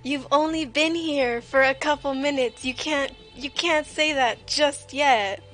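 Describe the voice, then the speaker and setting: A woman's voice: high-pitched, a little slow, fairly bright tone. A woman livestream host chatting casually and jokingly while she draws, with a playful, self-deprecating way of talking. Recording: music playing behind the voice. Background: music.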